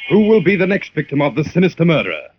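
A man's voice: fairly high pitch, very animated, fast, breathy edge, soft background music. A man announcing and narrating a radio thriller in a dramatic 1940s style. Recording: muffled, hissy old radio recording.

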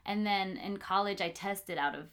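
A woman's voice: medium pitch, normal speed, a bit bright. A woman hosting a casual podcast conversation. She talks in a chatty way, with plenty of fillers and laughs. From Los Angeles, US. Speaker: podcast host.

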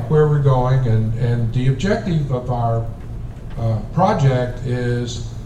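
Mellow-voiced man running a town meeting. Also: mid-range voice, a little hiss or hum. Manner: steady, folksy, a little hesitant. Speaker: meeting facilitator. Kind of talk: leading a public planning meeting. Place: large community hall. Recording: echoey hall recorded from far off.

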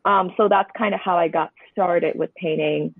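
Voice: mid-range voice, steady tone, normal speed, vocal fry, slightly rough, no echo. Painter over a tinny line. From Hong Kong. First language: Cantonese. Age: 20s. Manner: reflective, meandering, many hedging asides. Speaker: painter, interview guest. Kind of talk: conversational podcast interview. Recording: tinny, dry phone-line audio.

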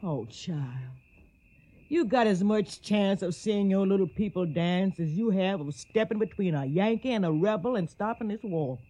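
A woman's voice: mid-range voice, moderate pace, dark tone, close to an everyday voice. An actress playing a protective, motherly older household mammy with a Southern US accent in a radio drama. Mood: worried. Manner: scolding, motherly, folksy.

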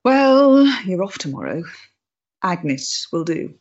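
A woman's voice: lowish voice, very animated, slow, darkish tone, highs cut off, exaggerated voice. An actress playing a proud, intense, troubled freethinking woman of the 1890s in a period stage drama, speaking emphatically and articulately. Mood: guarded, cool.

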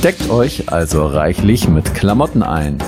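A man's voice: sits low, big pitch swings, unhurried. A man reading a scripted radio promo for merchandise with a tongue-in-cheek delivery. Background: loud music bed.

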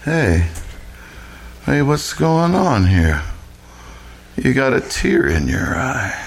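A man playing a drunk, smitten writer, halting and poetic, in a 1940s-style radio comedy. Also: mid-range voice, sing-song, slightly rough, exaggerated voice. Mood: smitten, ardent.

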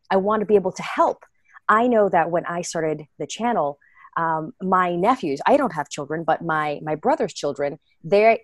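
An earnest, expressive woman answers interview questions, laughing easily as she talks. Her voice sits in the middle for a woman. The sound is dry and close. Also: medium pitch, dry recording. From United States. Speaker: guest, children's book reader.

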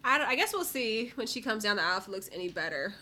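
Bright-voiced woman chatting through a recap. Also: medium pitch, expressive, very fast, slight background noise. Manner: informal, slangy, recounts others' remarks in mock quotes. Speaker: co-host of a reality TV recap show. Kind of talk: casual chatty recap commentary.

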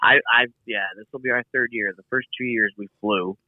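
A man as a podcast guest, chatting casually in a relaxed, rambling way with many fillers. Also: mid-range voice, fairly quick, no room sound, narrow, tinny audio. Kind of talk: casual chat.

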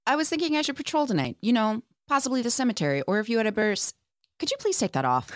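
A woman reading the part of a dejected, dry young female slayer at a table read, in a deadpan manner. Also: a little high, fast, dry recording. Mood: deadpan, wary. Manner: deadpan, downbeat. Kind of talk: podcast table read of TV script.

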